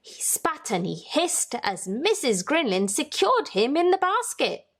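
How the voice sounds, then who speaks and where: A woman's voice: high voice, very animated, a little slow. A woman reading a children's storybook aloud as host, narrating expressively for young listeners.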